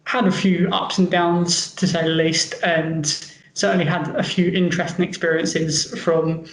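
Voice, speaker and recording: A man's voice: on the high side, flat delivery, moderate pace. Upbeat London man in an echoey room. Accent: London English. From England. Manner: upbeat, chatty, with fillers. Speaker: guest, medical device founder. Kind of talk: casual interview talk.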